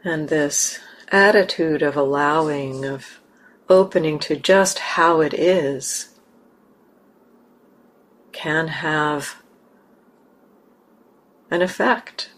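A woman leading a guided meditation as a teacher, speaking gently and unhurriedly with long pauses. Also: deep voice, low-quality recording.

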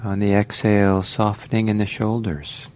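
A man leading a guided meditation as a teacher, speaking gently in short phrases with long pauses. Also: on the low side, little pitch movement. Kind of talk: guided meditation, slow spoken instructions. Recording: faint background noise.

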